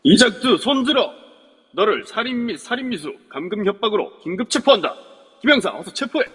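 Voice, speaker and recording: A man voicing a commanding police officer who gives brisk orders in an amateur audio drama. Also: high-pitched, very animated, a bit bright, slightly rough, exaggerated voice. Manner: brisk, authoritative. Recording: long reverb.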